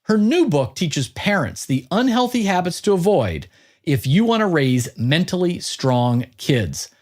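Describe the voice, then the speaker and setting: A male podcast host with a fairly high voice that moves around with great animation. He presents and interviews in an upbeat style. His phrasing is punchy and emphatic. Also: sing-song, unhurried, darkish tone.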